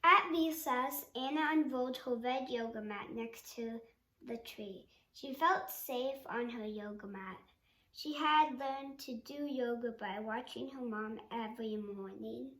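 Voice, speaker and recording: A female reader reading a storybook aloud, haltingly and sounding out some words. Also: fairly high pitch, sharp tone, far from the mic. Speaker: read-along reader. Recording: roomy sound with faint background noise.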